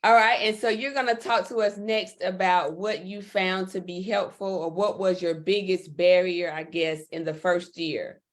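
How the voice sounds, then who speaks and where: A woman's voice: lowish voice, fairly even intonation, normal speed, hardly any pauses. A woman hosting a livestream interview in an upbeat, encouraging way. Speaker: host.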